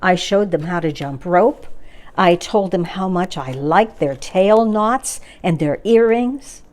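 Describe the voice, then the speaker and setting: A woman reading a children's picture book aloud as a story time reader, with expressive narration and acted-out dialogue. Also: lowish voice. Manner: measured, animated read-aloud with acted dialogue.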